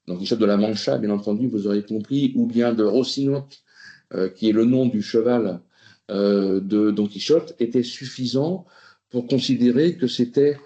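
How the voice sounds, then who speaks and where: A man's voice: medium pitch, dark tone. A man giving a spoken presentation to a committee, hesitant, with many fillers and restarts. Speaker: presenter on food quality labels. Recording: roomy, muffled recording.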